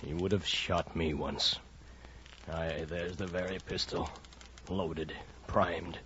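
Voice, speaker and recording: An actor playing a brooding, conscience-torn first mate of a whaling ship in an old radio drama, with solemn archaic diction. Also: male voice, low-pitched, fairly even intonation, takes it slowly, fairly bright tone, a little hiss or hum. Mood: agitated. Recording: muffled old radio recording, music behind.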